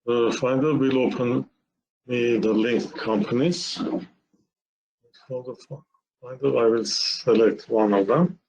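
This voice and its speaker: Male webinar presenter, very unhurried and halting, medium pitch, walking through software steps. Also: rough recording. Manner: halting, step-by-step explaining in short phrases.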